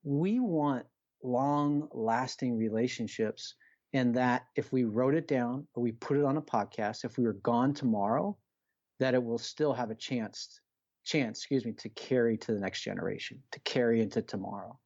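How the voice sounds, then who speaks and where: A man's voice: mid-range voice, normal speed, a little mellow. Dull-sounding recording of an earnest, emphatic man. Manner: earnest, emphatic, repeats phrases, rhetorical questions. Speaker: podcast guest, coach. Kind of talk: conversational motivational talk.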